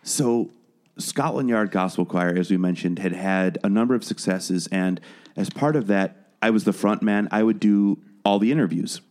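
Low-voiced chatty man telling his story. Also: moderate pace, darkish tone. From Wales. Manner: chatty, frequent restarts and repeated words. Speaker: guest, musician and podcast producer. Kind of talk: casual interview conversation.

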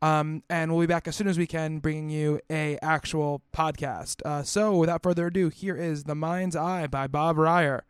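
A man's voice: a little high, steady tone, moderate pace, hardly any pauses, clean tone, no room sound, clean studio sound. A man giving a casual spoken introduction as a podcast host.

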